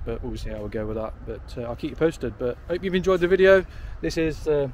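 A man's voice: mid-range voice, a little fast, a little mellow, slight background noise. Rambling man vlogging outdoors. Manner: rambling, self-correcting, upbeat. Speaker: drone vlogger. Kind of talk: casual vlog to camera. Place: outdoors by a railway station, windy. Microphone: handheld camera at arm's length.

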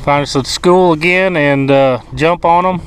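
A man's voice: a little high, measured pace. A man giving a folksy, colloquial fishing tutorial from an open boat on a lake. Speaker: fishing instructor. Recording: distant mic with loud wind noise.

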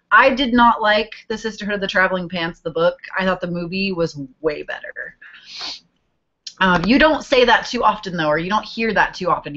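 A woman's voice: medium pitch, fairly bright tone, dull audio. A woman in her twenties chatting casually from her bedroom, answering viewer questions and humming while she searches. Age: 20s. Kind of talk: casual chat. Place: small bedroom, indoors. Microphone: webcam video call.

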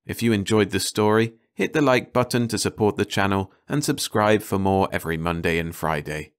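A male audiobook narrator reads a scripted story. His voice is deep, resonant and clean. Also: moderate pace, smooth.

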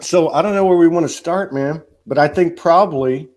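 A man's voice: a little high, normal speed, darkish tone. Rambling laid-back man in his fifties. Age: 50s. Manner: rambling, loose, peppered with "you know" and "man". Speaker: host. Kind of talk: casual spiritual chat. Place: home room, indoors. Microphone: video call.

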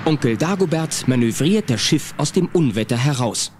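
A man's voice: mid-range voice, lively intonation, normal speed, background noise, lightly acted. A man narrating a children's cartoon audio drama as a storyteller. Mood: dramatic storytelling. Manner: storytelling, announcing. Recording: music bed behind the voice. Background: music.

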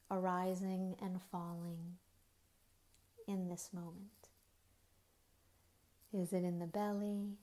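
A woman guides a meditation with a very slow delivery and a dark, relaxed tone. She speaks gently in short phrases with long pauses, letting the ends soften into breathiness. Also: mid-range voice. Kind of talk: guided body scan meditation.

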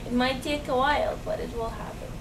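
Young Iraqi woman, English, earnest and halting, slightly high voice; distant camera audio in a noisy, roomy meeting room. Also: steady tone. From Iraq. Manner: earnest, halting, many restarts and fillers. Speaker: guest speaker. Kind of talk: unscripted talk to a meeting. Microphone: far camera.

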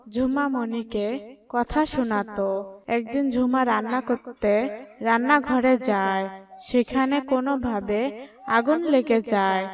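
A woman's voice: on the high side, distant mic. A woman narrating a scripted cartoon story.

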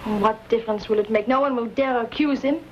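A woman's voice: a little high, fast, a little mellow, muffled recording, natural voice. A young woman playing a resigned, grieving trapper's daughter, speaking tersely and solemnly in an old western. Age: young adult. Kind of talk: 1950s western screen acting. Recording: very noisy old film soundtrack.

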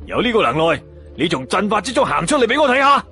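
A man's voice: high-pitched, sing-song, fast, faint music behind, voice pushed up, exaggerated voice. A man voicing a scornful, angry male village fighter in an audiobook, taunting and barking. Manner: taunting, shouting orders.

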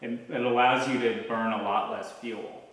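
A young man giving an informal farm tour, explaining as he goes with halting, repeated starts. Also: medium pitch, fairly even intonation, a bit bright. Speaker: maple farmer giving a tour. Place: large farm sugarhouse and tasting room. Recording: echoey farm building, some background noise.

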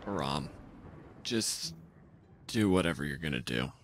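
A sardonic, deadpan man co-hosting as game master, with an exasperated edge to his casual banter. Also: very deep voice, unhurried, a little hiss or hum. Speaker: podcast co-host and game master.

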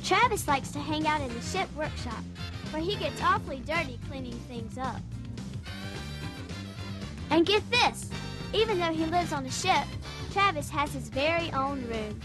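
A high-voiced woman narrates in a chatty, upbeat way meant for children. It is scripted voice-over recorded separately. Clear background music plays under her. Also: animated, moderate pace, long pauses. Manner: bright, chatty, kid-friendly.

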